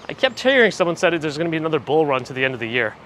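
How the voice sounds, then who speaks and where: A man hosting a live walking stream, chatting casually and reading viewer comments with dry asides; his voice is a little phlegmy and gravelly. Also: a little high, very animated, racing, a bit bright. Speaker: livestream host. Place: walking outdoors on city streets. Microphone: handheld gimbal camera rig with recorder. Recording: street noise behind the voice. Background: traffic and city ambience.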